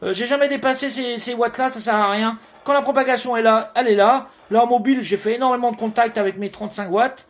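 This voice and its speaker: Very high-pitched man on amateur radio. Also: fairly even intonation, quick pace, few pauses. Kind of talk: casual radio contact chat.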